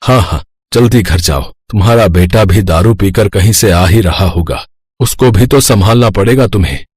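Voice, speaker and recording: A man's voice: on the low side, moderate pace, a little mellow, husky, no room sound, studio quality. Man acting a proud, indignant village father. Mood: sarcastic. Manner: firm, reproachful. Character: proud, indignant poor father of three daughters.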